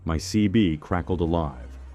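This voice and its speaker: A man reads a scripted first-person horror story in a measured, suspenseful way, using short clipped phrases. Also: deep voice, lively intonation, dark tone. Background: music under the voice.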